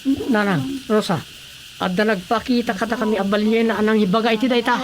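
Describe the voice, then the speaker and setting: A woman's voice: mid-range voice, fairly even intonation, fairly quick, crowd noise, natural voice. A woman playing an earnest, insistent young fisherman boy in a radio drama.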